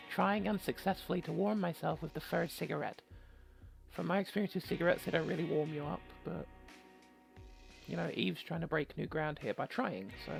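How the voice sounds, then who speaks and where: Male gamer, higher-pitched with heavy vocal fry, joking and rambling between read-aloud lines, music underneath. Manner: wry, rambling, joking asides. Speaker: gamer and streamer doing a let's play. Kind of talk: casual game commentary mixed with reading story text aloud.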